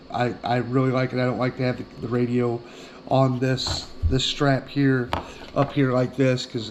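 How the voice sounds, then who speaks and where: A man's voice: mid-range voice, darkish tone, a little hiss or hum. A middle-aged man giving a casual, plainspoken product demonstration as an outdoor gear reviewer, often repeating himself for emphasis.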